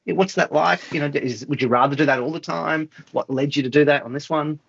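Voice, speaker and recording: A man's voice: fairly high pitch, a little fast, gravelly, a bit bright, husky, highs cut off. A man interviewing in a casual, conversational way, rephrasing his questions tentatively as he goes.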